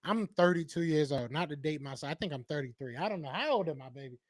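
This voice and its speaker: A man, hosting a casual sports chat, upbeat and quick to laugh. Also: on the high side, no room sound, studio quality. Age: 30s.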